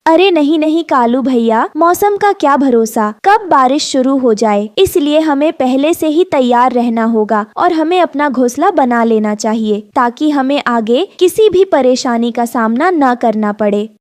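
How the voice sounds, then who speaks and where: A woman's voice: high-pitched, lively intonation, a little slow, few pauses, darkish tone, no echo, studio quality. A woman voicing a kind, hardworking mother bird in a cartoon fable, earnest and motherly. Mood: caring, earnest. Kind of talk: animated fable dialogue.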